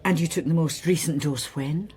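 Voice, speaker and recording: A woman's voice: deep voice, normal speed, darkish tone, lightly acted. An actress as a senior policewoman in a radio drama. She questions formally and bluntly, stern and impatient, with faint noise behind her.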